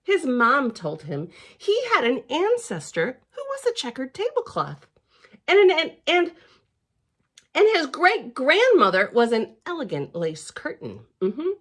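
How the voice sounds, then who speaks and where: Female children's storyteller: slightly high, hugely varied pitch, expressive read-aloud with chatty asides. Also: measured pace. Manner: theatrical and expressive, with gasps and hushed asides.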